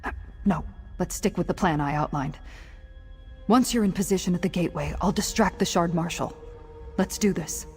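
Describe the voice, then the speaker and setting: A woman's voice: lowish voice, moderate pace, long pauses, darkish tone, breathy edge, in a large hall, faint music behind. An actress playing a bold, wry woman warrior in a fantasy game, giving blunt tactical orders. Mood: brisk, commanding. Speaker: companion character in a fantasy game. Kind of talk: acted fantasy game dialogue.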